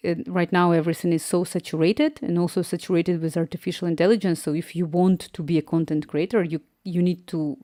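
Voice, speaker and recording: A woman's voice: fairly low pitch, little pitch movement, a little fast, hardly any pauses, mellow, no room sound, clean studio sound. A young woman from Ukraine with a Slavic accent, chatting casually on a live stream, laughing often and restarting words as she answers viewers.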